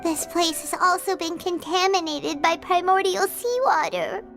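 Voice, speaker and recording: Tiny squeaky childlike companion. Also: female voice, a little slow, creaky, heavily acted. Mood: concerned. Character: small chirpy childlike floating companion, eager and protective. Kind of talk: video game voice acting. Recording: loud music behind the voice.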